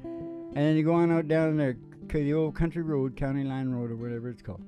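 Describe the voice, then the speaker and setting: A middle-aged man hosting a music show with playful, unhurried, rambling stage patter full of joking asides. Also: on the high side, lively intonation. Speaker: host of a music and comedy show. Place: small dim curtained stage room. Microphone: stand microphone. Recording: guitar playing behind the voice. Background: acoustic guitar.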